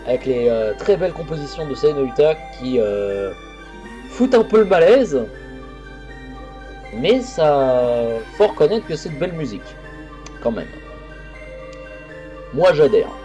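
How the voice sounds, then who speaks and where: A man's voice: a little high, sing-song, measured pace, long pauses, dark tone, muffled recording, faint music behind. A man hosting a let's play, chatting casually over a horror visual novel with crude jokes and frequent restarts. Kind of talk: casual game commentary.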